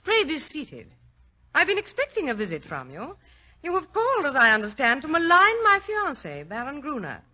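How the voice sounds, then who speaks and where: A proud, unyielding young lady of high society in vintage radio drama. Her voice is high and sharp, formal and icy in manner. The recording is lo-fi. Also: huge pitch swings, normal speed, full character voice. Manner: formal, haughty, precise. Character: haughty, icy, stubborn young upper-class woman. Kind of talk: 1940s radio acting. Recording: dull lo-fi old radio recording.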